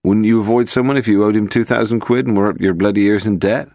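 A man's voice: fairly low pitch, steady tone, fast, a little mellow, no echo, clean studio sound, pitched above the performer's own voice, natural voice. A man voicing a surly, resentful farmer in an audiobook, curt and blunt. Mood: indignant. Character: surly bitter male farmer, resentful and indebted.

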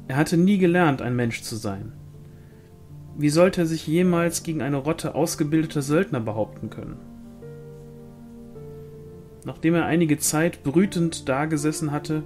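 A man's voice: mid-range voice, darkish tone. Man reading a novel over music. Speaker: audiobook reader. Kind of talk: scripted narration of a fantasy novel.

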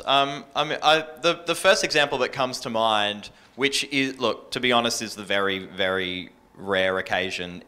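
Aussie guy on a panel, lowish voice, really bright, talking casual. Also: smooth. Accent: Australian. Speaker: radio presenter on a panel. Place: indoor workshop panel before a small audience. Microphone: handheld microphone.

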